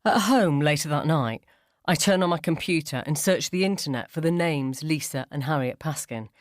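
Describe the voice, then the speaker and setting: A woman reading a first-person ghost story as scripted dramatic narration, measured and suspenseful. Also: deep voice, no echo. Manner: suspenseful, measured, acts out dialogue.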